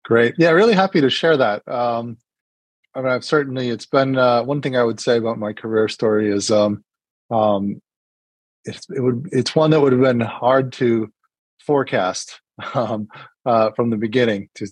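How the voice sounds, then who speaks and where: A man's voice: mid-range voice, no echo. A middle-aged man from New Hampshire, speaking as an interview guest in a casual, hesitant way with many fillers and restarts. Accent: partly British-sounding American. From New Hampshire, USA.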